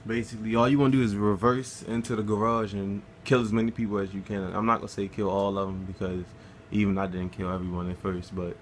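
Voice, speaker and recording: A man's voice: on the low side, little pitch movement, fast talker, few pauses, slight background noise. A man casually talking through a game walkthrough, laid-back and swearing freely as he plays. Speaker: gameplay guide narrator.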